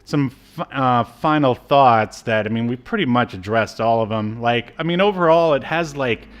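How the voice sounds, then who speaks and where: A man talks as a podcast co-host in a casual review chat. His voice sits at a medium pitch, and he speaks in a halting, rambling way.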